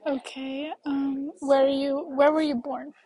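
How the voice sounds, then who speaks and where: High-voiced young woman interviewer. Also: little pitch movement, a little slow, mellow, poor audio. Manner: playful, teasing questions. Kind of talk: casual home interview.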